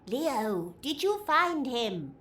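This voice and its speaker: Woman acting a slow, lilting motherly lady. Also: sits high, sing-song, a bit bright, exaggerated voice. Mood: questioning. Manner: fussing, endearing address. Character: motherly, caring woman with a scolding streak. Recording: clearly noisy background.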